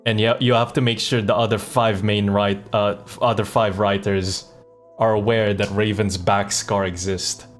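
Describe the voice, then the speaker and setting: A man's voice: lowish voice, level tone, moderate pace, faint music behind. A man streaming a game and chatting casually, thinking aloud in a rambling way. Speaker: live streamer. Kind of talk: casual chat while playing a game.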